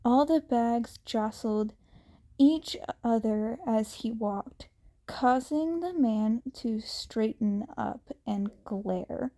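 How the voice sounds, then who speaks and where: Slow, high-voiced woman narrating a story. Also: mellow. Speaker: fan fiction narrator. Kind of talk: expressive scripted story narration.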